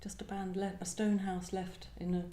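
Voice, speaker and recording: A woman's voice: mid-range voice, little pitch movement, moderate pace, mellow, a little hiss or hum. A woman, a poet speaking as a guest at a live literary event in a reflective style. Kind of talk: reflective discussion and poetry reading. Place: echoey indoor venue at a live event. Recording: roomy, echoing live venue.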